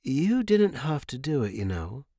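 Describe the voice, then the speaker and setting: A man voicing a firm, caring woman dragon keeper in a story, instructing and gently scolding. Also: medium pitch, big pitch swings, measured pace, dry recording, clean studio sound, voice pushed up. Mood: approving.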